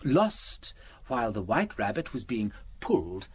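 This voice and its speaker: An actor with a British accent playing a gentle, shrewd priest detective in a radio drama, chuckling and teasing as he patiently explains. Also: on the low side, sing-song, measured pace, husky, heavily acted. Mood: explaining, amused.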